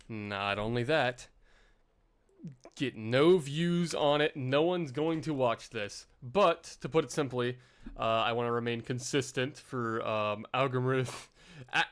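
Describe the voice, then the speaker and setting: A man's voice: mid-range voice, a bit bright, smooth. A young man vlogging in a casual, rambling way, full of fillers and self-deprecating asides.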